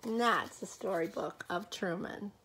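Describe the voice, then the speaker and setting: A middle-aged woman reading a children's picture book aloud expressively, with dramatic pauses and casual asides to young listeners. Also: mid-range voice, a bit bright, a little hiss or hum, low-quality recording.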